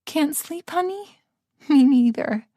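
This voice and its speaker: A woman's voice: high voice, expressive, takes it slowly, mellow, no echo, studio quality, voice pushed up. A woman voicing a chatty, caring female friend on the phone. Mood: concerned.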